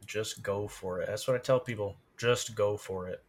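A man's voice: lowish voice, fairly even intonation, normal speed. A man, a streamer and podcast guest, casually explaining game tactics in an emphatic, encouraging way.

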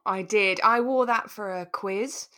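A woman's voice: medium pitch, a little slow, bright, forceful tone. Giggly British woman chatting on a podcast. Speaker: podcast host. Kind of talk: casual chat.

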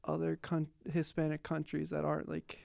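A young man hosting a casual podcast conversation, chatty and quick to laugh. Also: a little high, monotone, vocal fry, a little mellow, no room sound, studio quality. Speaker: podcast host.

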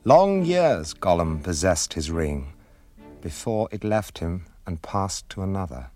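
A man's voice: low voice, big pitch swings, unhurried, mellow. A man narrating a radio drama in a solemn, grave and portentous storytelling manner. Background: music.

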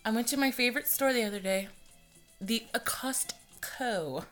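A woman's voice: a little high, a little slow, fairly bright tone, faint music behind. A young woman hosting her own podcast, chatting loosely and profanely and laughing often. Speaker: podcast host. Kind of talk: casual solo podcast monologue.

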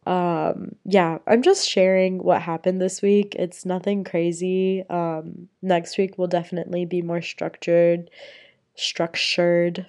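A young woman hosting a casual solo podcast, hoarse and raspy from being sick, chatty and playful. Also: fairly low pitch, flat delivery, slow pace, a little mellow. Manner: chatty, playful, rambling with fillers. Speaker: podcast host. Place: small room at home.

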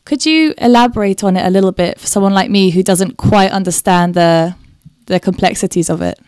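A woman's voice: medium pitch, a little fast, a little mellow, smooth, no echo, studio quality. A woman interviewing a guest, asking curious, open questions. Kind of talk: interview conversation.

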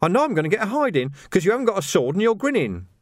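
Man as a high, plaintive young police recruit. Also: sing-song, racing, no echo, clean studio sound. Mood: plaintive, nervous. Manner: hesitant, plaintive, wailing. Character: nervous, naive young male police recruit.

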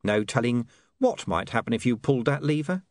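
A man's voice: medium pitch, lively intonation, a little fast, no room sound, clean studio sound, voice pushed up, close to an everyday voice. A man voicing a coolly threatening criminal in an audiobook, with menacing mock politeness. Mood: mock-polite menace. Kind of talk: audiobook character voice.

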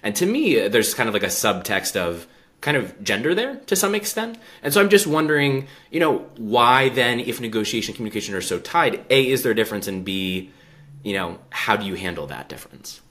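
A man hosts an interview in a very low voice with a bright, forceful edge. He talks conversationally and thoughtfully, taking a discursive route through his remarks and questions. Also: sing-song, brisk. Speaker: interview host.

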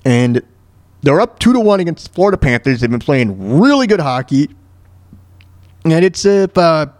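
High-pitched, halting American man, animated talk host. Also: no room sound. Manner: halting, stammering, repeats words and phrases. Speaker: solo host of a talk show. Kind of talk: casual, rambling news and sports commentary.

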